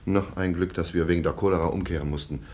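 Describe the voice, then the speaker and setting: A man's voice: sits low, steady tone, darkish tone, close to an everyday voice. A man playing a stern, disapproving baron. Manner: formal, measured, disapproving. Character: stern middle-aged baron, guardian. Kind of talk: radio play acting.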